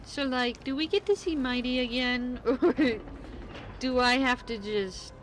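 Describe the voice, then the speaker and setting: A woman doing casual live game-stream commentary in an expressive, exclamatory, giggly way, swearing freely. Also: high voice, unhurried, a little hiss or hum, rough recording. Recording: game music behind the voice. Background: video game music.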